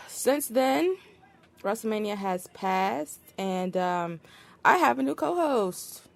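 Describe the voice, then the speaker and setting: A woman's voice: mid-range voice, expressive, takes it slowly, vocal fry. A woman hosting a wrestling podcast, chatting casually with many fillers and easy laughter. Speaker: podcast host. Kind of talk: casual chat.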